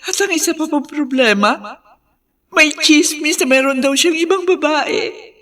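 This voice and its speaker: A high, tense, jealous Filipino wife. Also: female voice, unhurried, a bit bright. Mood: anxious, possessive. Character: anxious, jealous, possessive young wife. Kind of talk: Filipino radio drama acting.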